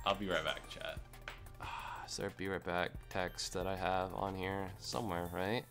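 Hoarse, gravelly young man streaming. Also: low-pitched, level tone, normal speed, a bit bright, soft background music. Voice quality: gravelly from screaming. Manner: rambling, swears when frustrated. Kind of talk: live gameplay stream chat. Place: home room.

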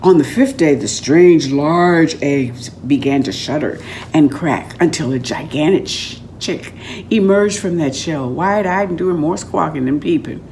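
An older woman reading a story aloud in an expressive, dramatic and deliberate storytelling manner. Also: low-pitched, slight background noise. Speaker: author reading aloud from own book. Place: room at home, indoors.

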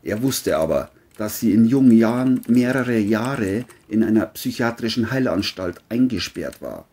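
Very deep, husky woman narrator. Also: animated, measured pace, mellow. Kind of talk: scripted crime audiobook narration.

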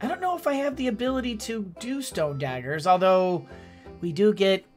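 A man's voice: sits high, expressive, moderate pace, soft background music. A man in his forties giving chatty, joking let's-play commentary from a home gaming room. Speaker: gaming streamer.

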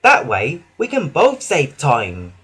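A man voicing a calm, sensible old male tram engine in a slightly raised voice. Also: a little high, takes it slowly, breathy edge, full character voice.

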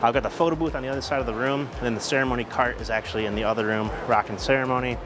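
A man's voice: medium pitch, steady tone, racing, crowd noise. A man in his thirties working as a wedding DJ and emcee, talking in an informal, upbeat way. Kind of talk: casual vlog talk and event announcing. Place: wedding venue and outdoor parking lot.

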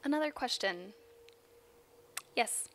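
A woman's voice: fairly high pitch, animated, slow, background noise. A woman moderating an audience question and answer session on an outdoor stage. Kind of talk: hosting an audience Q&A.

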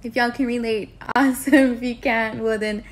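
Woman in her twenties, slightly high voice, casual vlog storytelling, candid, informal, emphatic. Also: fairly even intonation, moderate pace. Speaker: vlogger. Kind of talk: casual storytelling chat to camera. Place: indoors at home on a couch.

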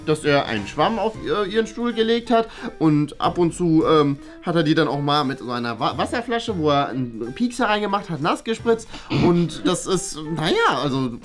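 A man's voice: a little high, very animated, moderate pace, hardly any pauses. A wordy, diplomatic schoolteacher played by a man in a comedy sketch. His intonation swings widely as he hedges through tactful complaints, with faint music behind him. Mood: tactful, amused. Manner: hesitant, hedging, wordy. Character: talkative, diplomatic male schoolteacher gently airing complaints. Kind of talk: improvised comedy sketch acting. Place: small bright classroom-like office, indoors. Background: background music.

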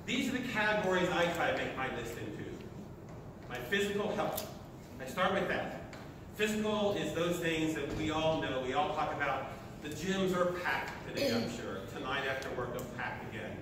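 In a big, very echoey lecture hall with clear background noise, an American man of sixty addresses an audience. His voice is on the high side for a man. He gives a motivational talk in short, emphatic phrases. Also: expressive, normal speed. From Oklahoma, United States. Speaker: speaker at a sustainability meeting. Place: large lecture hall, indoors. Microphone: distant camera at the back of the room. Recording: echoey hall from far off, noisy.

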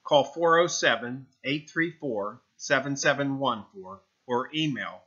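Male narrator for a business explainer, bright and forceful delivery, measured phrasing, slightly muffled lo-fi audio. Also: mid-range voice, expressive. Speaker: narrator of a real estate explainer. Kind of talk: scripted narration read from slides.

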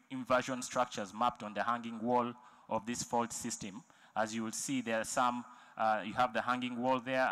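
Male presenter with a bright voice, halting, filler-heavy, conference hall. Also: mid-range voice. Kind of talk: technical presentation.

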